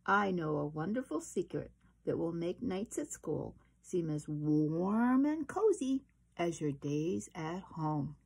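A woman voicing a gentle, reassuring mother raccoon in a children's storybook. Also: on the low side, very animated, slow pace, gravelly, slightly rough, heavily acted.

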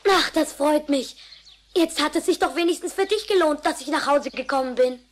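A woman's voice: high-pitched, normal speed, lightly acted. A woman voicing a tiny, earnest and determined enchanted boy in a children's cartoon radio play. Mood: relieved. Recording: faint noise on old cassette.

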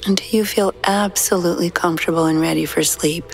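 A woman guiding a sleep meditation in a slow, soothing, hushed manner with long pauses. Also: lowish voice, fairly even intonation, creaky, slight background noise, rough recording. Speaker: meditation guide. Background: soft background music.

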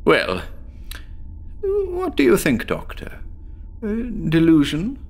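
A haunted, stammering mental patient, his voice gravelly and lively, leaping up high when panic takes hold. He pours out his confession to the doctor in an audio drama. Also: slow pace, full character voice. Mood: frantic. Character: haunted male patient recounting horror, frantic.

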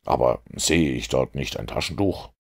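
A man's voice: a bass voice, lively intonation, moderate pace, vocal fry, exaggerated voice. A man voicing a commanding, driven detective with an emphatic delivery in an audiobook. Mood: lecturing.

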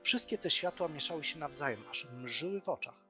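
Guy reading a fairy tale, bright forceful voice, expressive, music in the background. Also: on the high side, fairly quick. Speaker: narrator.

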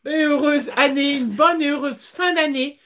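Lady in her 40s doing a craft live, high voice, chatty n hesitating a bit, small room. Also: steady tone, moderate pace, a bit bright. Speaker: craft livestream host. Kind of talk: casual livestream chat.

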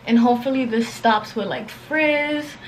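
A young woman talks to her camera in a bedroom with a somewhat high voice. Her delivery is casual, slangy and rambling, like an informal beauty vlog. There is a light layer of background noise. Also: unhurried, poor audio. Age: young adult, around twenties. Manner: slangy, rambling, filler-heavy with frequent "like". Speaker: beauty and lifestyle vlogger. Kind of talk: casual chatty get-ready-with-me vlog. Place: bedroom at home, indoors.

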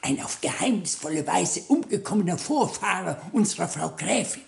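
Ceremonious butler, swooping roomy voice. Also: male voice, sits high, big pitch swings, moderate pace, gravelly, full character voice. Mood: excited, awed. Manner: ceremonious, stiffly polite. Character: formal, superstitious male butler of a countess. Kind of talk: 1960s German radio play acting.

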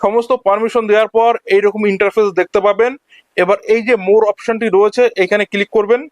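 A man's voice: sits high, flat delivery, fast, no echo. A man narrating an instructional screen-recording tutorial, explaining each step in turn as a voice-over. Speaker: tech tutorial host.

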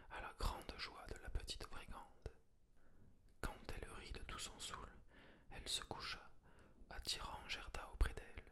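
A storyteller reading a fairy tale aloud in a steady, hushed whisper for an ASMR listener.

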